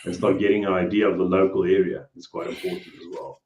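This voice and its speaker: A man's voice: sits low, flat delivery, fast talker, a little mellow, echoey room. A man with a South African accent, talking as a guest in a casual interview, hesitant and often trailing off with "you know". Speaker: guest, cleaning business owner. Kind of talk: casual video call interview.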